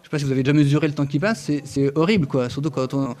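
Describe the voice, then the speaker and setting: A man's voice: a little high, racing. Earnest man in his thirties teaching faith. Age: 30s. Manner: earnest and lively, with many hesitant fillers, restarts and touches of humor. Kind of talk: spoken religious teaching to an audience.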